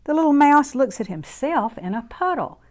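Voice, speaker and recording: A woman reading a picture book aloud to young children in an expressive, deliberate, sing-song way. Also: high-pitched. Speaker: children's story reader.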